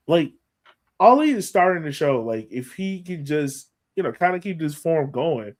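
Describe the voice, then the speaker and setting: A man's voice: fairly high pitch, animated, normal speed. An American man co-hosting a sports podcast, analyzing a match in a colloquial, emphatic way and repeating points for stress. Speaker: podcast co-host.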